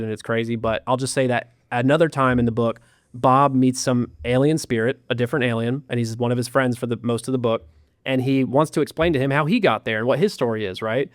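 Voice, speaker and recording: A man recounting a story in casual podcast talk, animated, with sarcastic asides. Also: mid-range voice, dry recording, clean studio sound. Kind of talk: casual chat.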